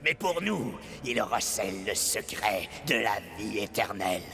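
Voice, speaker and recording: An actor playing a tyrannical, gluttonous male mouflon villain in a children's cartoon, gravelly, pompous and theatrical in a menacing way. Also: lowish voice, expressive, unhurried, music in the background. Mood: gloating command. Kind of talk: animated children's film dub.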